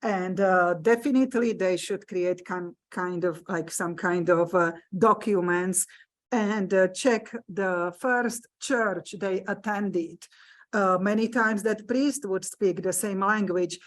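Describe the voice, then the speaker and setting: A woman with a Slovak accent lectures at an unhurried, measured pace, her voice sitting in a middle register. She pauses often on fillers and phrases things the way a non-native English speaker does, explaining patiently to a live room. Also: mid-range voice. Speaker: genealogy presenter. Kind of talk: hybrid library lecture. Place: meeting room with live audience.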